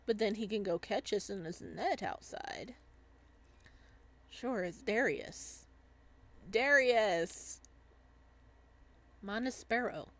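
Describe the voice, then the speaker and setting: A woman's voice: mid-range voice, animated, slow, long pauses. A woman hosting a casual visual novel playthrough, chatting and reading aloud. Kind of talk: casual playthrough chat and read-aloud narration.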